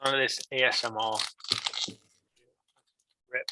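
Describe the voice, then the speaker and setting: A man with an accent opening trading card packs on a live stream, chatting casually and reacting with quick excitement that often trails off quietly. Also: medium pitch, noisy background. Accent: non-standard English accent.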